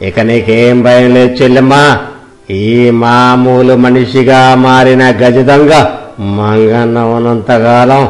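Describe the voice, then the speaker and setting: Casting: male actor as righteous ex-bandit, mid-pitched, emphatic and boastful, storybook tale over music. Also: little pitch movement, slow pace, natural voice. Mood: reassuring. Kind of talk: dramatised moral story.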